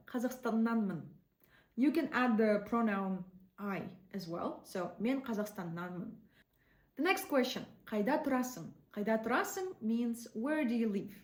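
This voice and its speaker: A woman with a Kazakh accent gives an online language lesson at a slow, unhurried pace and repeats each word for practice. Her voice sits a little high. The room adds a noticeable echo. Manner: explains slowly, repeats words for practice. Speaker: language teacher.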